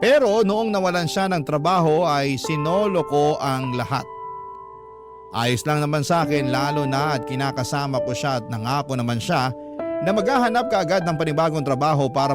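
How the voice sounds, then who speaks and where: A man with a Filipino accent reading a listener's letter as an emotive, scripted radio narration. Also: a little high. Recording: loud music bed behind the voice. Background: loud instrumental music.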